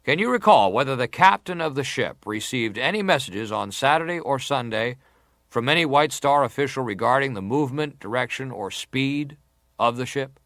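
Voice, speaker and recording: An actor playing an American senator who questions a witness formally, measured and insistent, in a dramatized hearing. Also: male voice, mid-range voice, expressive, fairly bright tone, no room sound, clean studio sound.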